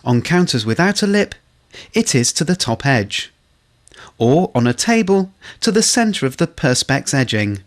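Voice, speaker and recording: A man's voice: mid-range voice, big pitch swings. Man narrating a corporate training film. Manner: measured, instructional delivery. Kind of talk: scripted instructional narration.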